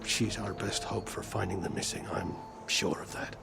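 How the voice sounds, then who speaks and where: Older game admiral, low gravelly voice, stern, pessimistic, formal phrasing, music behind. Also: male voice, steady tone, normal speed. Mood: earnest. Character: weary, stern older male fleet admiral, guarded and pessimistic. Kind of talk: video game cutscene acting.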